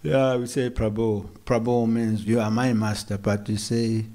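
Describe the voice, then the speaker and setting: Slow, measured South African man preaching. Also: on the low side, little pitch movement. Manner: slow, measured, with hesitant restarts and gentle humor. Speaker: monk giving a morning lecture. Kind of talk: sermon. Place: temple hall.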